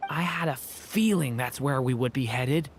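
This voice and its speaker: A polite, earnest young hero with a gentle way of speaking, as a man acts him out. His pitch sits in the middle range. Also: normal speed, a little hiss or hum, close to an everyday voice. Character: earnest young male hero searching for his mother's past.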